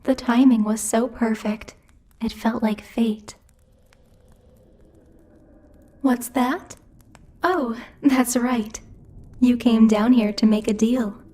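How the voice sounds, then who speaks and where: A woman's voice: on the high side, fairly even intonation, slow, dark tone. A woman voicing a bossy, teasing female demon who rules hell, speaking in a haughty, playfully menacing ASMR roleplay style. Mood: pleased, generous. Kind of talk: ASMR roleplay, intimate scripted monologue to the listener.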